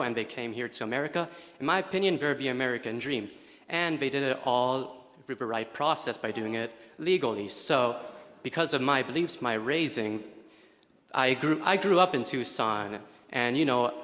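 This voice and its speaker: A man's voice: medium pitch, normal speed, fairly bright tone. A young man from Chile, a student, giving an informal, opinionated public comment at a campus forum. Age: young adult. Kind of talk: public comment at a town hall. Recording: large hall reverb.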